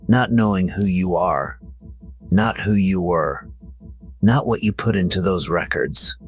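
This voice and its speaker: A man voicing a weary, bitter old record shop man in an audio drama, grave and resigned, with a husky voice. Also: full character voice. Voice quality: husky, low. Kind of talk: scripted audio drama dialogue.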